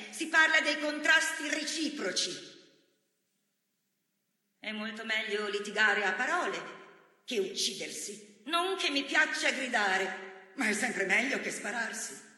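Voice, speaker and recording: A performer playing a resolute, forgiving woman democracy leader, giving a measured and earnest theatrical speech. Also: medium pitch, sharp tone, exaggerated voice. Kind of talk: theatrical political speech monologue. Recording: very echoey hall, long reverb.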